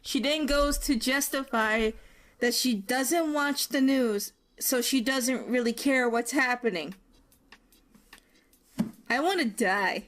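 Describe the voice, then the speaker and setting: Slow, higher-pitched woman in online video. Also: fairly bright tone, slight background noise. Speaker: video maker.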